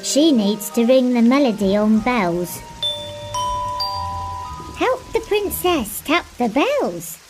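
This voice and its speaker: A woman's voice: fairly high pitch, big pitch swings, slow pace, long pauses, over music. A woman voicing a friendly, curious palace cat in a children's storybook, speaking expressively to young listeners. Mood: curious, kindly. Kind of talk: children's interactive storybook voice acting.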